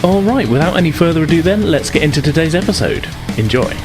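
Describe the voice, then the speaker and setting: A man hosting a podcast and narrating as game master, relaxed and full of "uh" fillers. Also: a little high, fast talker, loud music behind the voice.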